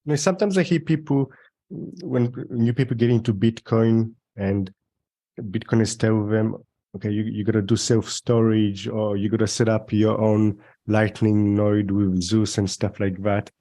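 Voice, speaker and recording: A male podcast host with a low, warm, mellow voice asks his questions in a relaxed, conversational way. He sometimes restarts his sentences hesitantly. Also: measured pace. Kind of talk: casual interview questions.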